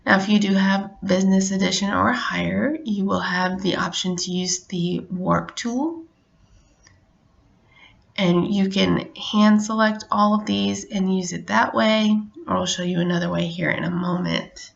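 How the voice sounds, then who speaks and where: A middle-aged woman narrating a casual how-to as a craft tutorial host, explaining step by step in a relaxed way. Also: medium pitch, measured pace, gravelly, lo-fi sound.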